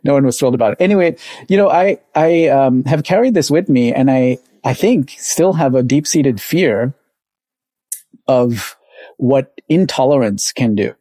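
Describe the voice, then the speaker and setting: A middle-aged man from Sri Lanka telling his story casually as a podcast guest, haltingly, thinking aloud. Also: medium pitch, lively intonation, warm tone, no room sound. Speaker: podcast guest, company co-founder.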